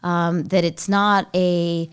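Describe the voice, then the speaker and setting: A woman from Baltimore, a young adult guest in a studio interview, answering in a measured, thoughtful way with frequent ums. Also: on the low side, little pitch movement, smooth. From Baltimore, United States. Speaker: guest, head of a refugee relief organization.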